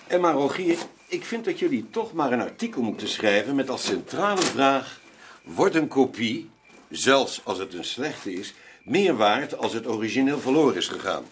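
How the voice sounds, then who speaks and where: Man playing wealthy courteous gentleman, formal, deliberate, animated pitch, radio play, slight recording noise. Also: lightly acted. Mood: solemn. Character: courteous, wealthy gentleman host, generous and curious. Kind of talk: radio drama acting.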